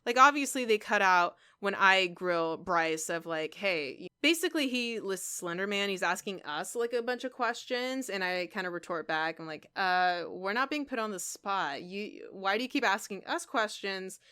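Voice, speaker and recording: Clear-voiced woman, chatty vlogger. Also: mid-range voice, lively intonation, moderate pace, few pauses, pushed and bright. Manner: rambling, conversational, with emphatic asides. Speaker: YouTuber and game streamer. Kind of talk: casual vlog chat to viewers.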